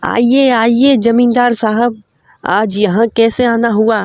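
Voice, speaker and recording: A narrator as a deferential poor village father, raised pitch. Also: fairly even intonation, takes it slowly, a little mellow, dry recording. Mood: welcoming. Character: humble poor village father, respectful, surprised by rich visitor.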